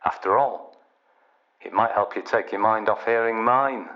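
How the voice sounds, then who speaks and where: A man's voice: on the low side, measured pace, highs cut off. An actor playing a sardonic male train announcer who needles passengers with mocking, conversational patter in a radio play. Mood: needling.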